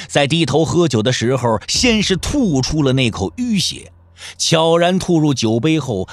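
A man's voice: fairly high pitch, fairly bright tone, dry recording. A man narrating a martial-arts audio drama in a dramatic, theatrical way, stretching phrase endings for emphasis, close to the microphone. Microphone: close studio microphone.

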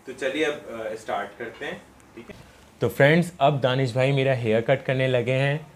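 A man's voice: mid-range voice, moderate pace. A young man hosting a casual vlog, chatting to his viewers from a barber salon and interviewing the barber. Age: young adult. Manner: friendly, chatty, addresses viewers directly. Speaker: vlogger and host. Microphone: phone camera at arm's length.

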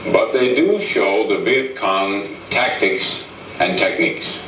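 A middle-aged American man presenting a scripted 1960s military film in a formal, measured way. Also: on the low side, sharp tone, far from the mic. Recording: muffled, echoey old film soundtrack, hissy.

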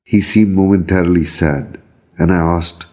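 A man narrates his own story in a very low voice with a soft, dark tone. His delivery is measured and solemn, and there is a husky grain to it as he reads. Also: fairly even intonation. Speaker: storyteller reading own account. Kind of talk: scripted narration of a visionary journey.

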